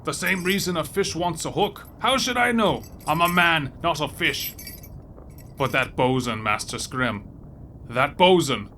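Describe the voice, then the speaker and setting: A man's voice: on the high side, animated, unhurried, bright, forceful tone, a little hiss or hum, exaggerated voice. A man playing a frantic shackled sailor in an audio horror drama, pleading in agitated old seafaring speech. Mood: frantic, exasperated. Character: frantic shackled sailor raving about a sea monster.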